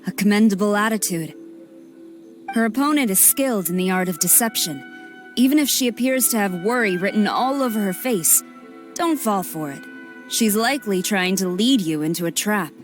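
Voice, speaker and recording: An actress playing a stern, formal female general in a game cutscene, speaking in a clipped, measured way. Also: medium pitch, animated, soft background music, natural voice.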